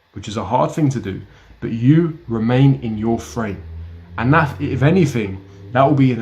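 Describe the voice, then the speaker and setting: A man's voice: mid-range voice, a little mellow. A young man giving blunt, emphatic self-help advice straight to camera, repeating his key points. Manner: blunt, emphatic and repetitive, lecturing the viewer. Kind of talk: direct-to-camera advice talk.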